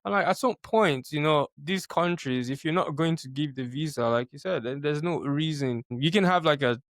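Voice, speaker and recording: A man hosting an interview podcast who reacts with lively surprise and asks long, wandering questions. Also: on the high side, normal speed, no room sound, studio quality. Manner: animated, exclaims in surprise, rambling questions. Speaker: podcast host.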